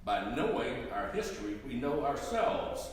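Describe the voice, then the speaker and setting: A man's voice: mid-range voice, very animated, measured pace. Man, scripted stage narration, grave oratory, heavy hall reverb, distant microphone. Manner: solemn, oratorical. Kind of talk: scripted narration in dramatic reading. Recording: very echoey hall, distant mic, faint noise.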